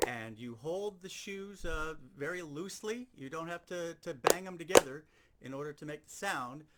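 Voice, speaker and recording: A man's voice: a little high, lively intonation, normal speed, heavy background noise. A man giving an instructional demonstration as a sound effects teacher, explaining things step by step and repeating key points.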